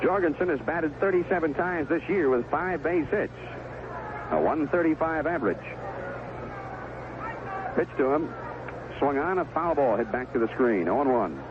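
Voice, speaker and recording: Male radio baseball play-by-play announcer, animated delivery, narrow phone-like band with clear background noise. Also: fairly high pitch, moderate pace, pauses often. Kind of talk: live radio baseball commentary. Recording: narrowband noisy 1970s AM radio broadcast.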